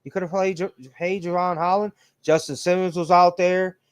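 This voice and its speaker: A man's voice: sits high, level tone, moderate pace, a loud crowd. A man in his late thirties co-hosting a casual sports chat, laid-back and profane, full of slang. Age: 30s. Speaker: podcast co-host.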